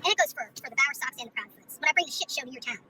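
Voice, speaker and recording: A woman's voice: high-pitched, lively intonation, rapid-fire, sharp tone. A woman in a confrontational, taunting, sweary rant, filmed on the street. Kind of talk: confrontational phone-video rant. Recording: distant, noisy street recording with vehicles.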